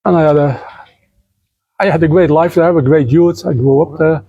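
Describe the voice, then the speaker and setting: A man from the Netherlands, a native Dutch speaker, answers interview questions as head of a nature foundation. He speaks calmly and carefully, a little higher than most men. His voice sounds dry and close, with no room around it. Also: moderate pace, breathy edge, no echo, dull audio. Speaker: guest, nature foundation president. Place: outdoors by the beach.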